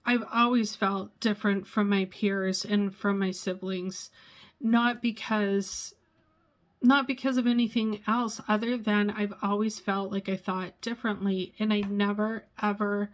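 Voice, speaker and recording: A woman in her sixties sharing a personal vlog, pausing often and searching for words as she talks. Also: mid-range voice. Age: 60s. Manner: halting, searches for words, many pauses and fillers. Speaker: vlogger.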